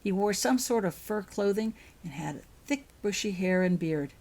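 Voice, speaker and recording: A woman reading her own fiction aloud as an expressive storyteller. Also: mid-range voice, normal speed. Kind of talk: audiobook-style narration of fan fiction.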